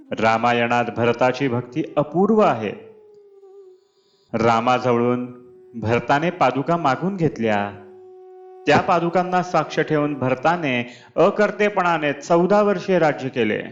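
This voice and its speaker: Slow-paced man reading a devotional discourse. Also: mid-range voice, muffled recording. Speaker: reader of spiritual discourses. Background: clear background music.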